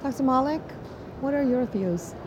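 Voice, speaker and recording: A woman's voice: high voice, lively intonation, normal speed. A woman doctor hosting an interview at a medical conference, speaking in an effusive, emphatic way. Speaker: host, interventional cardiologist. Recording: clearly noisy conference background.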